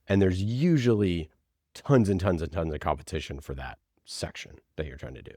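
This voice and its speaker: A man being interviewed, explaining ideas in an informal, halting way as he thinks aloud through examples. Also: low-pitched, mellow, dry recording, clean studio sound. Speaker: interview guest, podcast producer. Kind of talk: conversational explaining.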